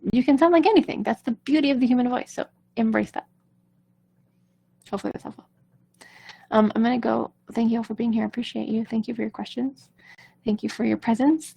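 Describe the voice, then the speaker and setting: A woman's voice: on the high side, creaky, warm tone, husky. A woman vocal coach speaking casually and reassuringly to viewers in a live-streamed coaching chat.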